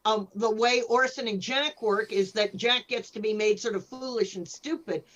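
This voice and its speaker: A woman's voice: a little high, normal speed, a bit bright. A woman on a panel talking casually and analytically, thoughtfully building comparisons, through a lo-fi microphone. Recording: slightly dull, lo-fi microphone sound.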